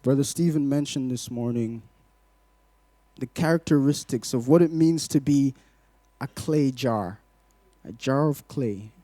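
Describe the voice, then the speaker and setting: A man in his twenties from Glasgow, born in Malawi, speaking to a congregation as a guest giving testimony, in a measured, poetic way. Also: mid-range voice, mellow, no room sound. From Glasgow, Scotland, born in Malawi. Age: 20s. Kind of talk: rhythmic spoken-word poetry in places.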